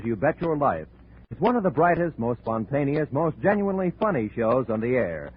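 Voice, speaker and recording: A man's voice: medium pitch, a little hiss or hum. A man with an American accent announcing on 1950s network radio in a polished, promotional manner. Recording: phone-like narrow 1950s radio recording.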